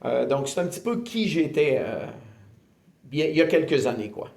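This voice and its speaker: A man's voice: on the high side, big pitch swings, moderate pace, gravelly, darkish tone. Earnest Québécois man, roomy echo. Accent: Québécois French. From Quebec, Canada. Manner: earnest, hesitant with frequent filler sounds. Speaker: ministry director giving testimony. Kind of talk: personal faith testimony, interviewed.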